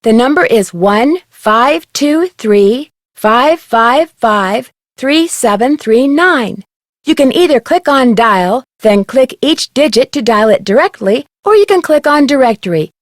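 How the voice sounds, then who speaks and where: A woman's voice: on the high side, expressive, a little slow, no room sound, clean studio sound. A woman playing an eager, polite young amateur detective in an adventure game, with a perky, inquisitive, scripted delivery. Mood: cheerful, instructive. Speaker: game protagonist and tutorial guide.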